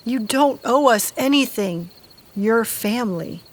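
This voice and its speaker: An actress playing a fiery, protective aunt in an audio drama, speaking bluntly and with emotion. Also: female voice, on the high side, lively intonation, measured pace. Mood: urgent, pleading. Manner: blunt, urgent questioning.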